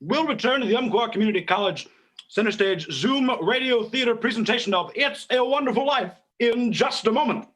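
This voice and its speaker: A man's voice: very high voice, expressive, fairly quick, a bit bright. A man narrating and announcing a radio play from a script.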